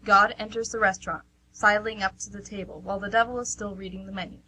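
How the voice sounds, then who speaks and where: A woman's voice: medium pitch, steady tone, quick pace, bright, forceful tone, breathy edge. A woman reading scripted stage directions as the narrator of an amateur radio play. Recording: noisy amateur radio recording with hum.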